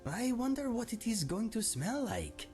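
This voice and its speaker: A man's voice: very high voice, wildly swooping pitch, moderate pace, a little mellow, faint music behind, heavily acted. A man voicing an eager, quirky adventurer companion in a fantasy game. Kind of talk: scripted game dialogue.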